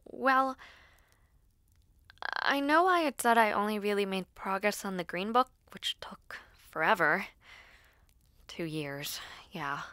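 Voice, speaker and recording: A woman acting an earnest, nervous school-age girl who dabbles in spells, speaking haltingly and intimately to the listener in an audio roleplay. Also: fairly high pitch, no echo, exaggerated voice. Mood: thoughtful, halting. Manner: hesitant, halting, soft-spoken asides.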